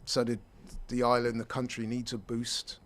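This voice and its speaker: A man co-hosting a casual sports chat, speaking in a measured, emphatic way and repeating words for stress. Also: mid-range voice, completely flat delivery, fairly bright tone. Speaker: sports pundit co-host.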